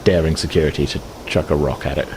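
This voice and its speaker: A very low-pitched man runs a tabletop game, narrating in a loose, rambling way full of restarts and fillers. A faint noise sits under his voice. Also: fairly even intonation, fast talker, a little mellow. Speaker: game master.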